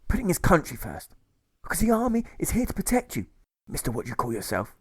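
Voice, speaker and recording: Muddled elderly colonel, gropes for words, pitched up high, read by a man. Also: wildly swooping pitch, brisk, husky, no room sound, studio quality, exaggerated voice. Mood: blustering. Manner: fumbles for words. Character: rambling forgetful old army colonel.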